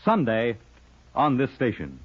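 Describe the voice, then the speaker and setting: A man's voice: mid-range voice, huge pitch swings, measured pace, husky. A man reading a scripted promotional announcement as a polished, dramatic 1950s radio network announcer. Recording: muffled old-time radio recording.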